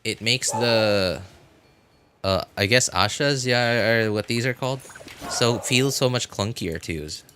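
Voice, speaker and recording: A man's voice: mid-range voice, a little slow, noisy background. A man doing casual live game commentary for his viewers, rambling and repeating himself as he thinks aloud. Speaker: game livestreamer.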